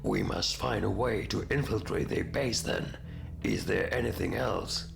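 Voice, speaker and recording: Man voicing a very gravelly orc war chief. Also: lowish voice, a little slow, music in the background. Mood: resolute. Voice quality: heavily gravelly. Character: gruff, resentful orc war chief, shrewd. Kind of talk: video game cutscene acting.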